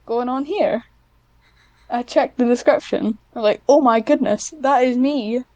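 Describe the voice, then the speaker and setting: A woman's voice: a little high, unhurried, darkish tone, no room sound, dull audio. A woman chatting casually as an interview guest, in a hesitant, self-deprecating way. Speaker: guest, hobbyist audio script writer.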